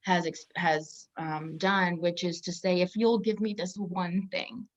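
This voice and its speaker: A woman with a moderately low voice hosts a Bible discussion in a conversational teaching style. Her phrasing halts and corrects itself as she thinks aloud. The recording is a little muffled and lo-fi. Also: steady tone, a little slow. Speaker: host of a Bible discussion podcast. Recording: muffled, dull lo-fi audio.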